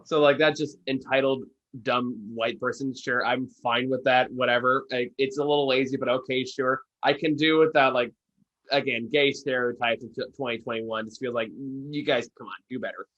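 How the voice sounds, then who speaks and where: A man's voice: medium pitch, steady tone, normal speed, fairly bright tone, lo-fi sound. A man co-hosting a casual movie review, speaking informally with an opinionated, sardonic delivery. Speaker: film reviewer and podcast co-host.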